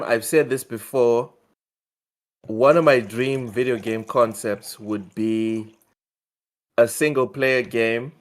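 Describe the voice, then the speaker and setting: A man co-hosting a casual gaming news show, talking excitedly and often repeating words as he thinks. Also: medium pitch. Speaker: podcast co-host.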